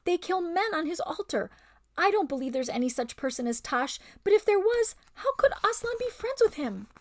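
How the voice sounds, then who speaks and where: A woman voicing a very young, timid male lamb who speaks softly in a high, pleading voice. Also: very animated, moderate pace, no echo. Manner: high, soft, pleading, near whisper.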